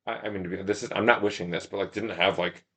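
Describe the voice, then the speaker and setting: A man's voice: deep voice, steady tone, fast, fairly bright tone. A man talking casually as a podcast guest, telling running stories in a rambling, self-deprecating way, thinking aloud. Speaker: podcast guest, ultramarathon runner and shop owner. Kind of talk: casual interview talk, telling stories about racing.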